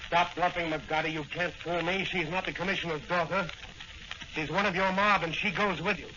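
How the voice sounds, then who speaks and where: An actor playing a bold, righteous masked crime-fighter in a declamatory 1940s radio style. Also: male voice, on the high side, fairly even intonation, normal speed, lightly acted. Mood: commanding. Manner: declamatory, taunting. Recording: dull, very noisy old radio recording.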